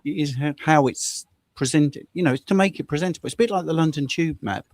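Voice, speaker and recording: Casting: croaky host guy, mid pitch, gravelly fry, dry close sound, chatty and keen. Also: fast, no room sound. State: croaky voice from long narration. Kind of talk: casual live-stream chat. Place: home, video call.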